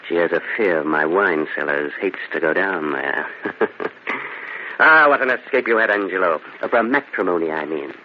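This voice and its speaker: An actor in an old-time radio drama playing a cruel, boastful, domineering rich Italian husband, sneering and theatrically mock-hearty. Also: male voice, low voice, expressive, moderate pace. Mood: smug. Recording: muffled, noisy old radio drama recording.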